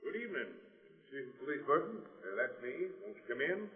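A folksy small-town police chief, full of bashful aw-shucks modesty. His pitch swings in a lively way, and the sound is a thin, tinny vintage radio recording. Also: brisk, husky, faint music behind. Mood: polite, obliging. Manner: aw-shucks, drawling, self-effacing. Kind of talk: old-time radio drama acting.

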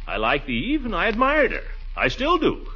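An actor playing a sheepish, easily flustered middle-aged bachelor suitor in a 1940s radio comedy, with an American accent and a hesitant, blustery delivery. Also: male voice, high-pitched, wildly swooping pitch, normal speed, husky, exaggerated voice. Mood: earnest. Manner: hesitant, blustery, theatrical swoops. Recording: dull old radio recording, music behind.

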